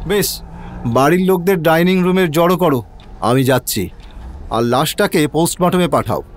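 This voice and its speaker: A man playing a brisk, sceptical police inspector in a detective audio drama, asking terse questions and giving orders. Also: on the high side, slight background noise, lightly acted. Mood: questioning, commanding.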